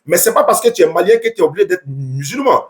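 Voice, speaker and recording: A man's voice: high voice, expressive, fast talker. A man, preaching on a live stream and talking with callers, earnest and repetitive, with many stumbling restarts.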